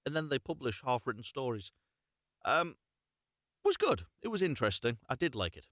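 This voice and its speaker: A man reading a storybook aloud live, expressive, with chatty asides and self-corrections. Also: medium pitch, moderate pace, dry recording, clean studio sound. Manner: stumbles and corrects himself, expressive. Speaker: reader of fairy tales, host.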